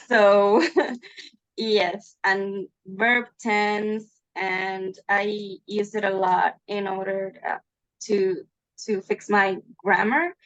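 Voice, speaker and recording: A woman's voice: medium pitch, little pitch movement, drawn-out words, fairly bright tone, dry recording. A woman, a native Spanish speaker with a Spanish accent, talking casually as a student in an online class. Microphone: video call mic. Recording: slightly dull video-call audio.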